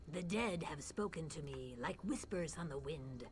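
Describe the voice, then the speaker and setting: A woman playing a grave mystic who speaks for the dead, solemn, measured and formal, in a fantasy game. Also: sits low, animated, crowd noise. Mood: calm, instructive. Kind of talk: scripted fantasy game dialogue.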